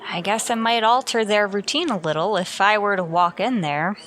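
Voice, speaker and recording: A woman playing a wry, lovestruck high school teacher in an old-time radio comedy, with a dry, sly manner. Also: moderate pace, a bit bright, natural voice. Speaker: lead actor and narrator. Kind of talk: old-time radio comedy acting, first-person narration.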